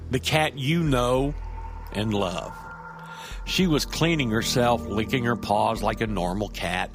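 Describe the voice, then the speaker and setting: An actor playing a nervy, rambling street-wise man who tells a horror story in a halting, stammering, conversational way, with music behind him. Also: mid-range voice, fairly bright tone. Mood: hushed storytelling. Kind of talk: audio drama first-person horror monologue.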